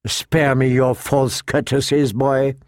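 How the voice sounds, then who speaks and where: A man's voice: medium pitch, flat delivery, slow, no room sound, natural voice. Man voicing a sardonic, dignified little dwarf lord. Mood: curt, mocking. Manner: dry, wry, formal speech. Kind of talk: audiobook character acting.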